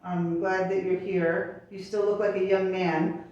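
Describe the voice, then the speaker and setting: A woman's voice: lowish voice, level tone, a little slow, a little mellow, lo-fi sound. A woman from the United States hosting a talk show interview in a chatty way, with fillers and self-corrections, recorded from a distant mic in an echoey room.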